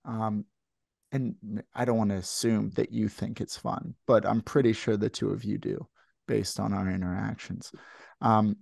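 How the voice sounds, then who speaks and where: A man hosting a podcast, asking guests questions in a relaxed, halting way. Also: lowish voice, creaky, no room sound, clean studio sound. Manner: relaxed, halting with repeated words. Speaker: podcast host. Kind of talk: casual interview chat.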